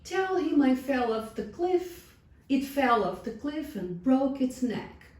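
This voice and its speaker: A woman's voice: sits high, very animated, takes it slowly, darkish tone, pitched above the performer's own voice, heavily acted. A woman voicing a false, scheming lady who coaxes and pleads dramatically in a folktale. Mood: pleading. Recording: distant, roomy sound with faint noise.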